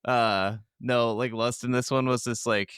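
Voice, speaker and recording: A man's voice: mid-range voice, fairly even intonation, measured pace, fairly bright tone, smooth, no echo, clean studio sound. A man co-hosting a gaming podcast, talking casually with emphatic, opinionated, profane delivery. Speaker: podcast co-host.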